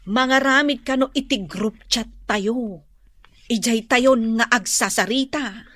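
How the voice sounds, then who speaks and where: A woman acting an excitable, gossipy village housewife with an Ilocano accent in a comedy radio drama, exclamatory and quick to laugh. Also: on the high side, no room sound.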